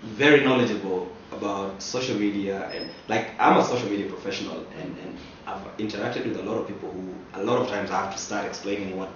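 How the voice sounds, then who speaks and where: A man's voice: fairly low pitch, steady tone, fairly quick, few pauses. Guy interviewer, casual n kinda hesitant, repeats words, distant muffled mic, tons of room echo. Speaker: interviewer, social media professional. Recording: roomy echo, distant mic, dull, faint noise.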